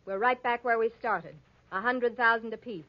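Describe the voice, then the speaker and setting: An actress playing a scheming, melodramatic American femme fatale in 1940s radio style. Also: female voice, a little high, normal speed, lightly acted. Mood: brazen, hard. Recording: muffled vintage radio recording.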